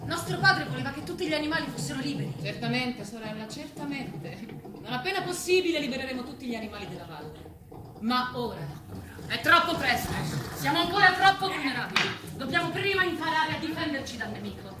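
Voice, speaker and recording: A woman's voice: sits high, expressive, fairly quick, heavy background noise. A woman playing a commanding, persuasive female farm animal in a theatrical radio play, speaking with emphatic oratory. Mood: persuasive, solemn. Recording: roomy sound with loud music.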